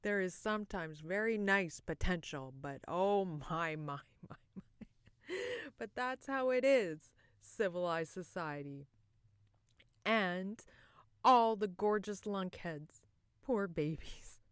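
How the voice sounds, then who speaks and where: A woman performing a theatrical monologue as a bitter, boozy middle-aged wife, mocking and self-loathing, with dramatic pauses and swings between loud and hushed. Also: fairly low pitch, expressive, clear, resonant tone, no echo, studio quality. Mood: wry, scornful.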